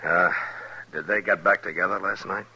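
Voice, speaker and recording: An actor playing a stern, steady Old West marshal in a radio western, speaking in a measured, authoritative, terse way. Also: male voice, deep voice, muffled recording, heavily acted. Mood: measured, calm. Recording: vintage radio recording with faint noise.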